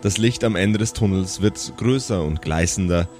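A man's voice: low voice, normal speed, a little mellow, soft background music. A man acting as game master and host, bantering and improvising stories in a casual, jokey way. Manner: jokey, playful.

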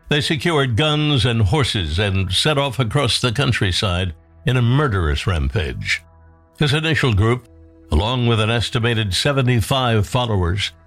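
A man's voice: on the low side, fairly bright tone. A man narrating a scripted history documentary. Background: music.

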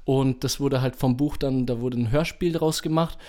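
A man's voice: medium pitch, steady tone, normal speed, warm tone. A man hosting a podcast in casual conversation, speaking informally and with enthusiasm. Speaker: podcast host. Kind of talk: casual chat.

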